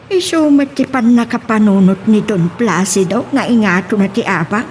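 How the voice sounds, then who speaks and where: A woman's voice: medium pitch, normal speed, a little mellow, close to an everyday voice. A woman playing an indignant farm woman with an exasperated, questioning manner in an audio drama. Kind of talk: radio-style Ilocano drama acting. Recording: faint background noise.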